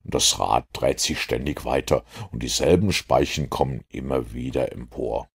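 A man voicing a self-assured master detective who lectures in a measured, superior way in an audiobook. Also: rumbling low, vocal fry, husky, full character voice. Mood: lecturing, confident. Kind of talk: audiobook character dialogue.

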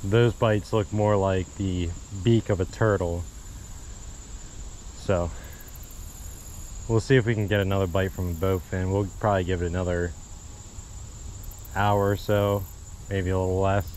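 A man's voice: sits low, fairly even intonation, unhurried, long pauses, darkish tone, a little hiss or hum, rough recording. A man chatting easily and thinking aloud as he narrates a fishing trip at a marsh pond, recorded on a body-worn action camera. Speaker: fishing vlogger. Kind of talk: casual outdoor vlog narration.

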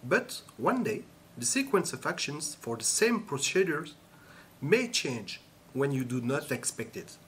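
A man giving scripted instructional narration in a measured, instructive way. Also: fairly high pitch, sing-song, fairly bright tone, slight background noise. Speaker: training narrator.

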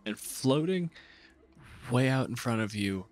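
A man narrating a tabletop game as game master, telling it dramatically with halting pauses. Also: medium pitch, animated, darkish tone. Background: music.

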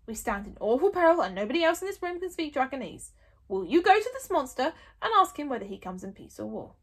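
A man's voice: extremely high pitch, very animated, fast talker, pitched above the performer's own voice, exaggerated voice. A woman voicing a proud, blustering male Viking chief who tries to sound in control but is uneasy. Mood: awkward. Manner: loud, trying to sound in control.

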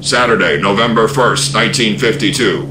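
A man's voice: on the low side, moderate pace, noisy background, natural voice. A man narrating a radio drama serial as a solemn storyteller. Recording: echoing voice over loud music.

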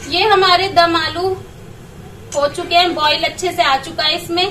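A woman's voice: very high voice, fairly even intonation, moderate pace, fairly bright tone, recorded from a distance, a little hiss or hum, rough recording. A woman explaining a recipe step by step as she cooks in her home kitchen. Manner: step-by-step instructing. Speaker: home cook vlogger. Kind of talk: cooking demonstration.

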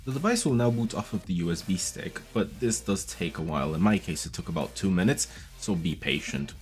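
Deep-voiced man explaining a tech fix. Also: expressive, brisk, faint music behind. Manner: chatty, patient step-by-step explaining. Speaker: tech video host. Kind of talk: casual tutorial walkthrough. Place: small room at a desk. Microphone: studio mic on a boom arm, wearing headphones.

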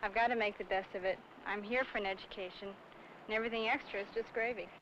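A woman's voice: mid-range voice, fairly even intonation, fast talker, a bit bright. A young woman giving an earnest statement of her views. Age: teens or young adult. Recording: dull old film, faint vehicle noise.

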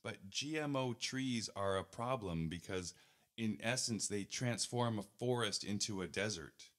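A man's voice: fairly low pitch, a little slow, fairly bright tone. A man reading his own article aloud as scripted narration, in an earnest, pointed manner with rhetorical asides.

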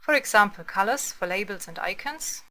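A woman reading scripted screencast narration as a tutorial narrator, in a measured, instructional manner. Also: mid-range voice, animated, bright, forceful tone, a little hiss or hum.

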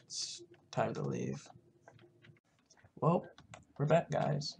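A low-key, unhurried gamer casually narrating a roleplay game session. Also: creaky, a little mellow, slight background noise. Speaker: gaming video host.

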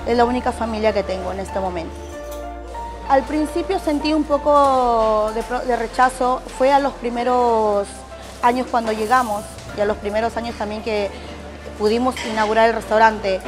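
Higher-voiced Peruvian-born woman. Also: fairly even intonation, moderate pace, music in the background, rough recording. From Peru. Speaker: restaurant owner. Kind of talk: documentary interview. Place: restaurant counter.

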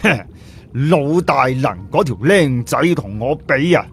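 Guy doing a proud grumbly old village head from a storybook, medium pitch, easily flustered. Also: animated, slow, a bit bright, a little hiss or hum. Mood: boastful. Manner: exclaiming, grumbling, emphatic. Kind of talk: storybook character voice.

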